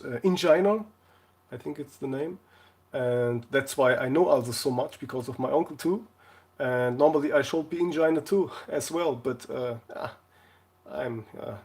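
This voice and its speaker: A man from Portugal, chatting casually on a live stream in a jokey way, often halting to search for words. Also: medium pitch, darkish tone, slightly rough. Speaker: live streamer, console repair video maker.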